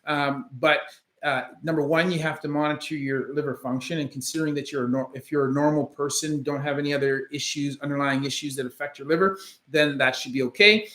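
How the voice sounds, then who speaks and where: A Canadian man with a slightly high voice and a faint Jamaican trace in his accent. He answers viewer questions as a doctor in a measured, halting way, full of ums and uhs. The sound bounces around his office. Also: fairly even intonation, fairly bright tone. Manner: thoughtful and measured, with many ums and uhs and restarts. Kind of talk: casual question-and-answer livestream. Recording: roomy echo in a room.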